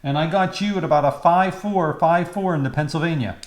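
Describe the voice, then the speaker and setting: A man's voice: fairly high pitch, brisk. A man working as an amateur radio operator, giving clipped contest exchanges and phonetic callsigns. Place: home radio shack. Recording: roomy shack, faint background noise.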